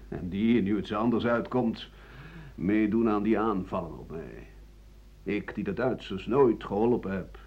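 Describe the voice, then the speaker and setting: An actor playing an embittered older French doctor and writer who defends himself against treason charges in an aggrieved, emphatic radio play monologue. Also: male voice, lowish voice, lively intonation, measured pace, slightly rough, full character voice. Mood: bitter, aggrieved. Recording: dull 1980 radio drama recording.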